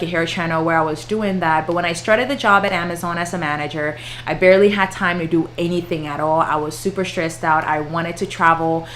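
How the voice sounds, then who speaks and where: A woman's voice: fairly low pitch, fairly bright tone, slight background noise. A woman, chatting casually with viewers on a livestream and laughing often. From Ghana. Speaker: flight attendant and YouTuber.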